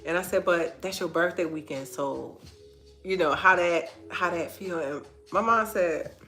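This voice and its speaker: A woman talks to her online audience in an earnest, encouraging way and repeats key phrases for weight. Her voice sounds stuffy and congested, yet bright and forward, sitting a little low. Faint music plays quietly behind her. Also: measured pace. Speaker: host of a live online talk. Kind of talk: inspirational faith-based livestream talk.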